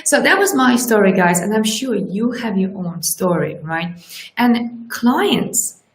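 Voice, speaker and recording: A Russian-native woman in her forties talks straight into a webcam, coaching viewers with lively energy. Her voice sits at a middle pitch and sounds roomy and echoey, as if she is in a small curtained room. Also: slow pace. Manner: lively, animated, keeps asking "right?". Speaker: life coach. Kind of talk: live-streamed motivational talk. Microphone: webcam at desk distance.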